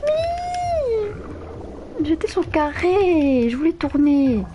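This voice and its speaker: A woman's voice: very high voice, wildly swooping pitch, slow, background noise. A nineteen-year-old woman, chatting playfully with viewers while playing a game live, flustered and teasing. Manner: playful, bantering, easily flustered. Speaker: streamer. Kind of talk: live gameplay chat with viewers.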